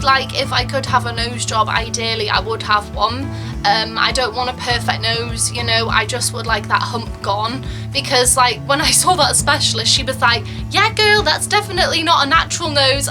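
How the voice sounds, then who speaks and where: Chatty woman vlogging over music. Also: a little high. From Wales. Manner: chatty, frequent "like" and "um", acts out quotes. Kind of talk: casual chat to camera.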